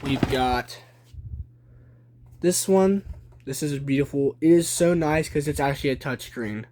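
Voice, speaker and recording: A young adult man giving a casual show-and-tell narration on a phone at home, listing items deliberately in short phrases. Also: medium pitch, a little mellow. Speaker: hobby collector presenting a video. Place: small room at home. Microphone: handheld phone behind the camera. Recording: noisy handheld home video with clicking.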